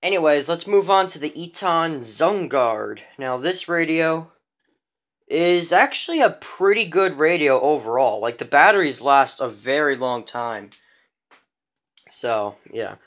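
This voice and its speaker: A man's voice: a little high, lively intonation, unhurried, fairly bright tone. Casual, rambling man showing his collection. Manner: rambling, repeats himself. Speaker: hobbyist collection presenter. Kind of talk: casual unscripted show-and-tell.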